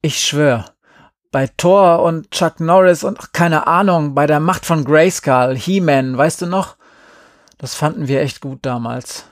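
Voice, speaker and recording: A man's voice: on the high side, a little slow, dry recording, studio quality, voice pushed up, natural voice. A man voicing a feisty, streetwise young girl in an audiobook, brash, slangy and emphatic. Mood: promising. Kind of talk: acted audiobook dialogue.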